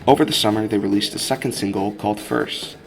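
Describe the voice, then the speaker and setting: A man student reporter narrating a scripted music feature in a measured way. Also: lowish voice, gravelly. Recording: loud guitar music behind voice.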